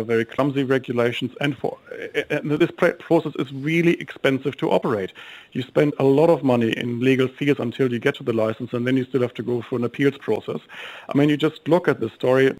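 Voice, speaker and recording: A middle-aged man with a German accent speaks quickly as a guest in a radio interview. He argues fluently, with the odd um. His pitch sits in the middle. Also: a little mellow, low-quality recording. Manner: fluent, brisk, with ums while arguing. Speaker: think tank guest. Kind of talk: interview on a talk radio show.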